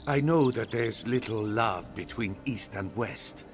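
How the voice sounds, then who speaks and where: A man voicing a serious young nobleman in a video game, speaking gravely and thoughtfully over game music. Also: lowish voice, measured pace, lightly acted.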